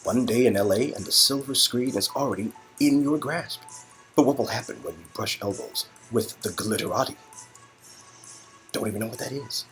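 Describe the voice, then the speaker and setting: A man's voice: medium pitch, normal speed, poor audio. A man narrating a story game as co-host, mixing scripted narration with casual banter. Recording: music in background.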